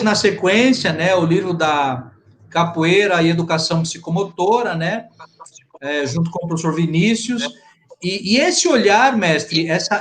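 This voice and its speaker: A man with a Brazilian Portuguese accent interviewing a guest conversationally, deferential and roundabout, often repeating words as he builds a question. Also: a little high.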